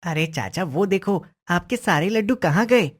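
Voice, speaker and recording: A woman's voice: fairly low pitch, animated, unhurried, crowd noise. A woman voicing a clever, cheeky village orphan boy in a cartoon story. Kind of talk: Hindi cartoon story acting.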